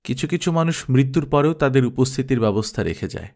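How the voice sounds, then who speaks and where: A man's voice: mid-range voice, lively intonation, fast, darkish tone, lightly acted. A man voicing a composed young woman boss in an audiobook, speaking in a matter-of-fact, decisive way. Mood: cool, firm. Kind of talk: audiobook character dialogue.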